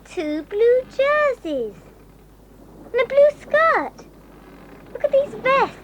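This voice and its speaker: Excitable little girl, super high squeaky voice, bit of hiss. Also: slow, exaggerated voice. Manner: breathless, eager, a little fussy. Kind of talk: 1980s audio drama acting. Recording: faint hiss of old cassette.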